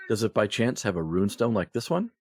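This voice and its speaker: A man with a husky voice playing himself in a comedic audio drama, with a wry, sarcastic manner. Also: lowish voice, very animated, fast, no echo, clean studio sound. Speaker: podcast performer playing himself in a comedy skit.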